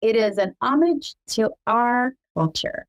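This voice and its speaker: A woman's voice: fairly high pitch, expressive, measured pace. Chatty middle-aged woman, Cebuano native. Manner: warm, proud and chatty, laughs often. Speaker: podcast host. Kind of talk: casual family chat.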